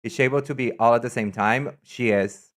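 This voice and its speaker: A man's voice: mid-range voice, fairly quick. Campy, chatty male streamer from Brazil. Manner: chatty, campy and excitable, trails off into asides. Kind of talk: casual live-stream chat.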